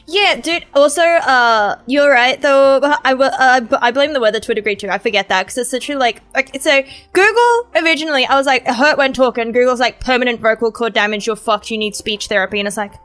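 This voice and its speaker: A woman's voice: high-pitched, fast, few pauses, a bit bright, soft background music. A woman streamer with a sore throat, chatting casually in a rambling way, swearing and laughing.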